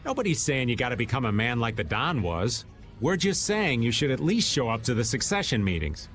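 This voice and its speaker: An actor playing a laid-back, folksy, teasing middle-aged rogue in a video game. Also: male voice, medium pitch, big pitch swings, a little fast, fairly bright tone, faint music behind. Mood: casual, frank.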